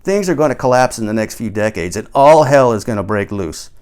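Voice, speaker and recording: A man's voice: lowish voice, expressive, a little fast, darkish tone, clear, resonant tone, natural voice. A man voicing an urgent, ranting middle-aged stoner conspiracy theorist in an audiobook, with emphatic, preachy delivery. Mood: alarmed, urgent. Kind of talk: audiobook character dialogue.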